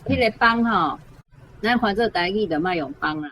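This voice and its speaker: A woman's voice: mid-range voice, animated, normal speed, fairly bright tone, a little hiss or hum, rough recording. A woman teacher with a Taiwanese accent explaining word usage conversationally, often checking with tag questions. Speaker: language teacher.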